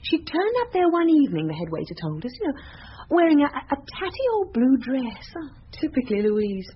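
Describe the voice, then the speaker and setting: Haughty, swooping young madame. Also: female voice, sits high, big pitch swings, fairly quick. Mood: scornful. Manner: haughty, theatrical, disdainful. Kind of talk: radio drama acting. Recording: dull, slightly noisy radio drama recording.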